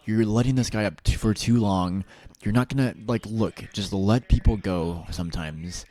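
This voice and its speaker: A man's voice: fairly low pitch, moderate pace, dark tone. A man giving sarcastic reaction commentary over a livestream, teasing and mocking the people in it. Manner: sarcastic, teasing, chanting mock noises.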